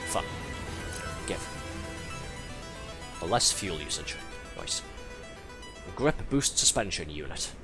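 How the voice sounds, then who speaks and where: A man with a lingering cold talks casually through a live gameplay stream. His voice sits on the low side but swings widely as he jokes and reads out in-game text. Loud game music plays underneath. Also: very animated, slow pace, long pauses. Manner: chatty, joking, reads in-game text aloud. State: has a head cold.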